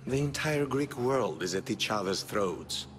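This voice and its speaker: A man playing a smooth-talking, calculating rich patron in a video game cutscene, measured and coolly condescending. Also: medium pitch, lively intonation, a bit bright. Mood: coolly amused. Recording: long reverb, background music.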